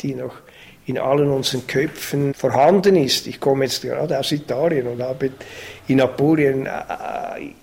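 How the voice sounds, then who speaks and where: Slow, gravelly man. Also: medium pitch. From Switzerland. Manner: hesitant, halting with repeated words and fillers. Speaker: architect. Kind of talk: radio interview statement.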